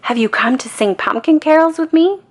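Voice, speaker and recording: A woman voicing an earnest, excitable young boy full of stubborn hope in a children's storybook. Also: high-pitched, moderate pace, voice pushed up. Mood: excited. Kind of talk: children's storybook read-aloud.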